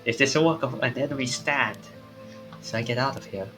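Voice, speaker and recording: A man voicing an anxious, excitable young man in a visual novel, with dramatic exclamations. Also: mid-range voice, normal speed, close to an everyday voice. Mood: suspicious, alarmed. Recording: game music behind the voice.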